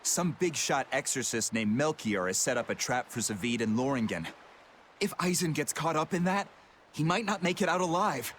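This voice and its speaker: A man's voice: fairly high pitch, expressive, moderate pace, a bit bright, a little hiss or hum. An actor playing an agitated young pirate crewman in an English game dub, urgent and exclamatory. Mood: urgent, indignant.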